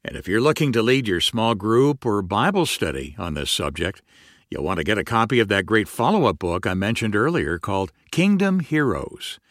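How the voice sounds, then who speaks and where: A man reading a radio program announcement in a lower voice that moves up and down with lively energy. The sound is dry and close, with a smooth, promotional delivery. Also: normal speed, no echo.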